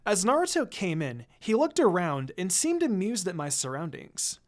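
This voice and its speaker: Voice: medium pitch, wildly swooping pitch, moderate pace. A narrator reading a scripted first-person story aloud, acting out bits of dialogue along the way. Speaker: fan fiction narrator.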